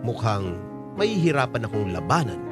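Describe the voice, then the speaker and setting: An actor playing a gentle, self-effacing middle-aged Filipino man in a radio drama, with a soft, earnest delivery and a Filipino accent. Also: lowish voice, sing-song, normal speed. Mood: conflicted, confessional. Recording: music behind the voice.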